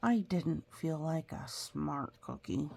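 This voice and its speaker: A woman's voice: sits low, lively intonation, slow pace, vocal fry, a little mellow, heavily acted. A woman voicing a cheerful, earnest cookie telling its life story from a children's picture book, with big storytelling emphasis. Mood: earnest, reflective.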